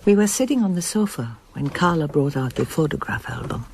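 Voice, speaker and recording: An actress playing a reserved, probing middle-aged mother in a radio drama, restrained and pointed, with a husky voice. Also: low voice, very animated, fairly quick, background noise. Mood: reflective. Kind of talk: radio drama acting with narration.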